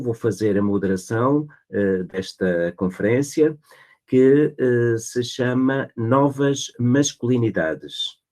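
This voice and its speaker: Measured Portuguese man moderating a conference. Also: lowish voice, darkish tone. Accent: European Portuguese. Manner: measured, many filler pauses. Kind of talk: formal introduction and moderation.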